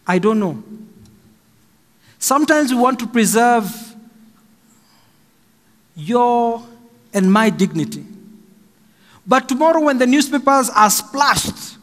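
A middle-aged Kenyan man preaching a slow, emphatic sermon from a pulpit stand mic in a large church hall. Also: very high-pitched, animated, a bit bright. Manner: slow and emphatic, raising his voice for stress. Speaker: pastor. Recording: long hall reverb, faint music.

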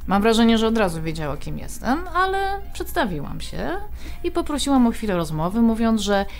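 A woman's voice: on the high side, very animated, fairly quick, soft background music. A woman playing an outspoken adult daughter in a naturalistic audio drama, bantering with her mother in a conversational, emphatic way. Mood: recounting, wounded.

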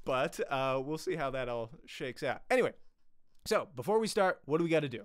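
A man in his thirties teaches acting in a casual, conversational way. His voice is lively and widely varied in pitch, with a clean, smooth tone. He speaks close to a studio mic in a small home room, with a very dry sound. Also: a little fast, dry recording. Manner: animated, chatty, repeats questions for emphasis. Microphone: close studio microphone with pop filter.

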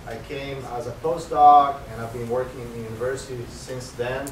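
A man in his thirties from Brazil with a Brazilian Portuguese accent, introducing himself as a soil scientist at a conference, halting and full of fillers. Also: medium pitch, fairly even intonation, distant mic. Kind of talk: self-introduction at a conference panel. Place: university lecture room with audience. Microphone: far room camera, no mic seen. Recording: echoey room recorded from afar, noisy.

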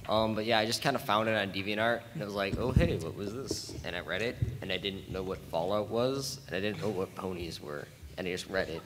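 Man, easygoing casual panel talk, fairly low, reverberant hall, background noise. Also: fairly quick, hardly any pauses. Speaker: convention panelist, amateur voice actor. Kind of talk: casual chat. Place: large convention room with audience. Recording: echoey hall, noisy pickup.

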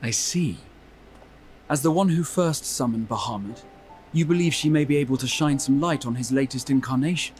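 An actor playing an earnest, scholarly young adventurer in a video game cutscene, speaking politely and thoughtfully in formal phrases. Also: male voice, mid-range voice, moderate pace, darkish tone, soft background music, close to an everyday voice.

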